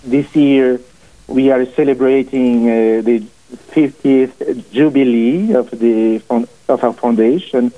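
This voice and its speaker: A man in his forties with a Portuguese accent, a priest guest speaking haltingly, with many fillers and restarts, on a radio interview. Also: mid-range voice, fairly even intonation. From family from Portugal. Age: 40s. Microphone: phone call into studio. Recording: phone line.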